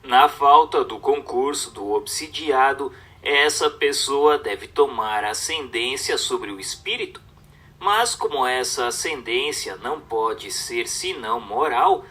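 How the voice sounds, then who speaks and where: A man with a Brazilian accent reading a religious treatise aloud as a narrator, in a formal, measured, didactic way. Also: mid-range voice, expressive, sharp tone.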